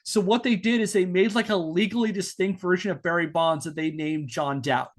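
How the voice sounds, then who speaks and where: Guy with a pretty high voice doing podcast storytelling, kinda playful and mock serious. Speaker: podcast host telling a story. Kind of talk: narrated storytelling with casual banter.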